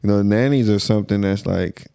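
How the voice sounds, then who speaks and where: Low, creaky-voiced American man. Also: brisk, warm tone, no echo, studio quality. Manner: informal, colloquial, musing. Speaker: podcast host. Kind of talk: casual conversation.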